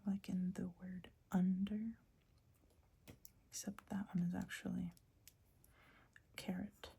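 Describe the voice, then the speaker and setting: A woman whispering a phonetics lesson in an ASMR style, hesitant and often pausing to search for words. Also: on the low side, flat delivery, warm tone. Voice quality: breathy. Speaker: teacher.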